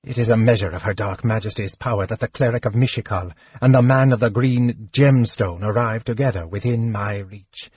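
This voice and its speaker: A man doing a huge scheming dragon, cold and commanding, with a husky voice that stays measured and imperious. The recording is dry and dull. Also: lowish voice, a little mellow, no echo.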